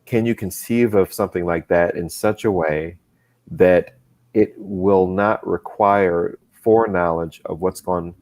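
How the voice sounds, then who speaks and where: A man with a very low voice talks as a guest in a relaxed conversation. He chooses his words carefully and often repeats small words while finding his phrasing. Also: unhurried. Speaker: guest, comics creator. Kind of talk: casual livestream chat.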